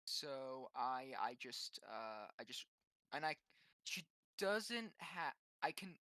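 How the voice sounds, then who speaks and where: A man's voice: medium pitch, very animated, takes it slowly, pushed and bright, dry recording, clean studio sound. A man chatting casually as a player in a tabletop game, hesitant and often stammering over his words.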